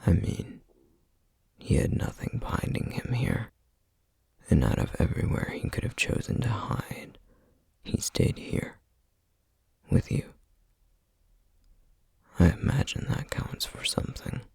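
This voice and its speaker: A man playing a solemn, weary, duty-bound flame demon in an ASMR roleplay, whispering breathily and haltingly. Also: lowish voice, huge pitch swings, darkish tone, dry recording, clean studio sound, full character voice. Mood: gently consoling. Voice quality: breathy, husky whisper with gravelly edges. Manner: slow, halting, measured delivery with heavy pauses. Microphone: close microphone, dry sound.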